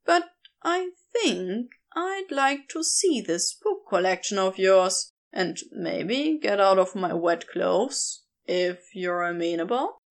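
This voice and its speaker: A woman voicing a bold, fond, flirtatious man in a fantasy romance story, with a teasing, smooth manner. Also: medium pitch, expressive, slow pace, pitched below the performer's own voice.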